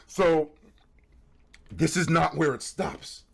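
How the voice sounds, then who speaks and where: A man streaming casual, animated stories to a live chat, with slangy, profane phrasing and dramatic emphasis. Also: a little high, slow pace, creaky. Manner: profane, slangy, acts out quoted dialogue; dramatic emphasis and repetition for suspense.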